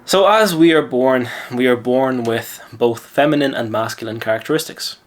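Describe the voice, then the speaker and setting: A man's voice: mid-range voice, normal speed. Lithuanian man with an Irish accent. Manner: chatty, self-assured, lecturing. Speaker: mindset coach. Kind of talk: casual storytelling and advice monologue.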